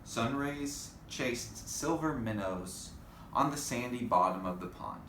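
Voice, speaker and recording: A man's voice: mid-range voice, expressive, slow, fairly bright tone. A man reading a storybook aloud to children, expressively, with chatty asides to his young listeners. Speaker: storybook reader. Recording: echoey room, faint background noise.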